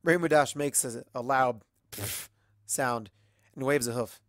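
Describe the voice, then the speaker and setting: A person reading a story aloud as scripted narration, dropping softer on the narration tags. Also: medium pitch, a little slow, dry recording, clean studio sound. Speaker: amateur audiobook reader. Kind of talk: scripted narration of fan fiction.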